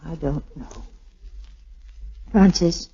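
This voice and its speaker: A woman's voice: deep voice, expressive, very slow, mellow, slightly rough, full character voice. An actress playing a troubled, serious young woman in a 1940s radio drama, hiding her worry. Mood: serious, uneasy. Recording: dull, noisy 1940s radio recording with clicks.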